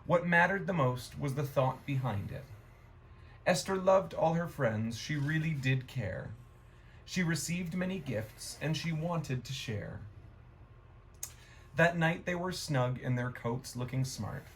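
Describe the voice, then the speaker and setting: A man reading a rhyming children's story aloud in a gentle, expressive storytime manner. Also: fairly high pitch, a little hiss or hum, rough recording.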